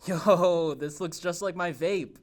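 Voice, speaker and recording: Slightly high, laid-back young guy, acted by a man. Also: slow, a little hiss or hum. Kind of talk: scripted table read of a TV drama.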